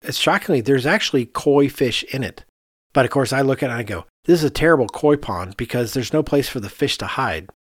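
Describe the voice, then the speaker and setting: A man's voice: medium pitch, lively intonation, fairly quick, breathy edge, dry recording. American man talking casually, dry close sound. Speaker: podcast co-host and architect. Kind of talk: casual conversational discussion of architecture.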